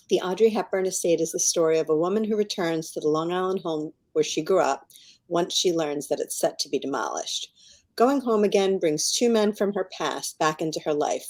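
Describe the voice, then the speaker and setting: A middle-aged woman with a slightly low voice speaks as a guest author in an interview. She is chatty and effusive, answering in a casual, enthusiastic way. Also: a little mellow.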